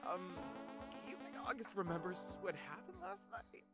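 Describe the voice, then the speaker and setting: A woman's voice: fairly low pitch, very animated, moderate pace. A woman acting a chatty, skeptical young woman in a visual novel game. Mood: hesitant, curious. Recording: loud music behind voice. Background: game music.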